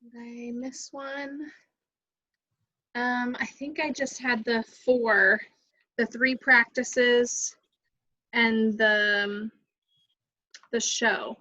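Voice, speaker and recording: Female coordinator, very bright and fairly high voice, hesitant meeting talk, slightly muffled call audio. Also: steady tone, drawn-out words, long pauses. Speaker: activities coordinator scheduling rehearsals. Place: remote video call.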